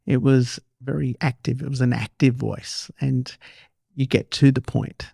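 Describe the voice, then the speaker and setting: A man's voice: mid-range voice, little pitch movement, a little slow, creaky, mellow, breathy edge, no echo, studio quality. A man hosting a casual, conversational interview. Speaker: show host, interviewer.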